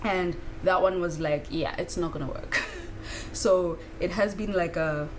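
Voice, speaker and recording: Woman, around thirty, from south africa, candid spiritual vlog talk, lowish voice, steady background noise. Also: measured pace, low-quality recording. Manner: candid, casual swearing, many fillers. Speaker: spiritual vlogger. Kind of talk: casual reflective monologue.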